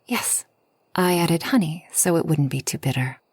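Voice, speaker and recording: Low, breathy forest witch, played by a woman. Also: dry recording. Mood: soothing, caring. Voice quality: soft, breathy, often near whisper. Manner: gentle, unhurried, soothing, slightly formal speech. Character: kind, solitary, long-lived forest witch hosting a traveler. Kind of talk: soft ASMR roleplay.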